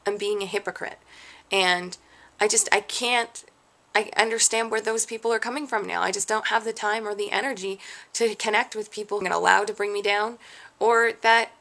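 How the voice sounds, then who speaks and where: A woman's voice: medium pitch, steady tone, moderate pace, sharp tone. A young adult woman vlogging a frustrated, rambling rant, questioning herself as she talks. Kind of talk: candid rant to camera.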